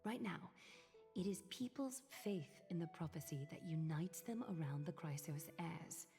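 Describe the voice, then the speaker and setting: A composed, aloof leader of great age for a video game. She speaks in a fairly low voice with formal, measured phrasing and a calculating cool. Long reverb surrounds her, with soft music underneath. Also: lively intonation, vocal fry. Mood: solemn, pensive. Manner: measured, formal, coolly eloquent. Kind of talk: scripted video game voice acting.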